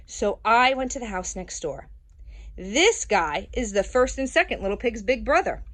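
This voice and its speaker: A woman voicing a self-justifying wolf who tells his side of a children's storybook with folksy, aggrieved, dramatic delivery. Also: a little high. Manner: dramatic, drawn-out storytelling with sudden swoops and hushes. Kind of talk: children's storybook read-aloud.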